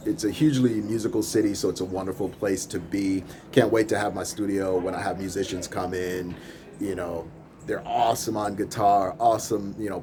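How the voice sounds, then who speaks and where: A man's voice: low voice, slight background noise. A man, a music producer and podcast host, talking off the cuff in a casual, rambling vlog from his apartment with a camera in hand. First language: English. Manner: rambling, relaxed, laughs between thoughts. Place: apartment in a big city, filming out over rooftops.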